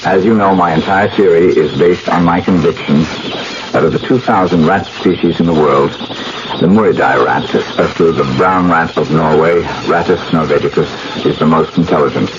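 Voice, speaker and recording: A man playing an intense, earnest research scientist and devoted lover in a 1970s radio drama, speaking in a deliberate, lecturing manner. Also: low voice. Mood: formal. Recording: muffled, very noisy old radio broadcast.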